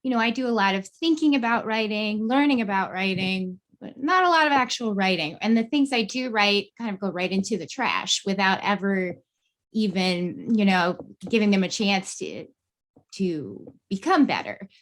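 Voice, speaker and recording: Enthusiastic woman with a mid-pitched voice. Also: animated, normal speed, a bit bright, poor audio. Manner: enthusiastic, informal, candid. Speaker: podcast guest, beginning writer. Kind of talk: casual chat.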